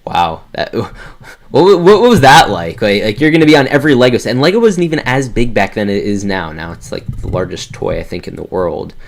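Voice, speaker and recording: A man hosting a fan interview show, speaking with excitable enthusiasm and often stammering over his word starts. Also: fairly low pitch, fairly quick, gravelly. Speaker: host of a toy fan video show. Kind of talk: enthusiastic interview and scripted introduction.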